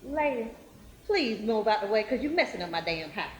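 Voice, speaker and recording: A woman's voice: medium pitch, big pitch swings, moderate pace, lightly acted. A woman acting an abusive, volatile, drug-addicted mother in a stage play, confrontational and threatening. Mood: irritated. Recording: roomy, distant stage pickup.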